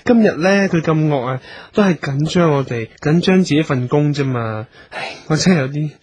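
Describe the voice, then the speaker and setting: A man playing an excitable, fussy, fawning talent manager in a radio comedy drama, with an effusive, wheedling delivery and a Hong Kong Cantonese accent. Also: on the high side, moderate pace, slightly rough. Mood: coaxing.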